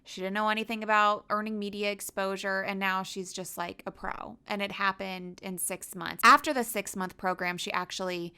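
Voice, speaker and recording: Bright, crisp-voiced woman podcast host. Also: medium pitch, steady tone, moderate pace, few pauses. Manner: enthusiastic, promotional. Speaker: podcast host and media coach. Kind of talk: upbeat podcast interview.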